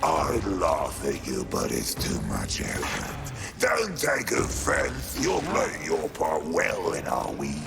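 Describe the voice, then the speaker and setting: Very deep, lazy sloth demon. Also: male voice, wildly swooping pitch, slow pace, vocal fry, exaggerated voice. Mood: lazy sarcasm. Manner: languid, theatrical drawl. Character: huge lazy male demon of sloth, mocking, indifferent. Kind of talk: video game cutscene acting. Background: loud music.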